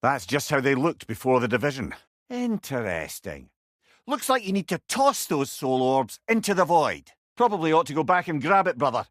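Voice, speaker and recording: An actor voicing a clever, chatty old companion in a video game, with a Scottish accent and a wry, eloquent way of talking. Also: male voice, on the high side, very animated, moderate pace, no room sound, studio quality. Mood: thoughtful, explaining. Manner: wordy, eloquent, wry storyteller. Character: clever, chatty, sardonic old male severed head companion. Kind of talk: video game character dialogue.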